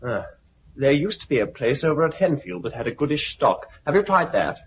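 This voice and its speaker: An actor playing a mild, thoughtful British survivor in 1950s radio drama style, measured and tentative. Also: male voice, on the high side, sing-song. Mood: mild, earnest. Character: mild, thoughtful male survivor full of suggestions. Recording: muffled, noisy 1950s radio recording.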